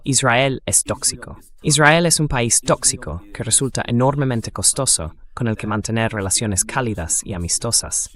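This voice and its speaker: A man's voice: mid-range voice, moderate pace, hardly any pauses, fairly bright tone. A man giving emphatic, articulate political commentary as the dubbed voice of a guest.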